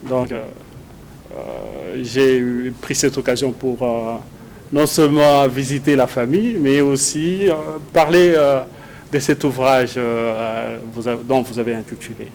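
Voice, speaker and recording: Halting Congolese French man, mid-pitched. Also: darkish tone. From Democratic Republic of the Congo. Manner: hesitant, halting, repeats words. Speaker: guest, researcher and doctoral student. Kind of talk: interview answers. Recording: clear background noise and ambience.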